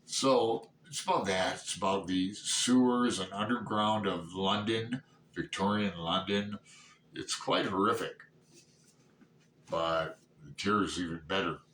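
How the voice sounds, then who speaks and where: A man in his sixties chatting casually and unscripted about books, halting and rambling as he thinks aloud. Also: low voice, a bit bright. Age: 60s. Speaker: book reviewer vlogger. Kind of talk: casual unscripted book chat. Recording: roomy echo, distant mic, background noise.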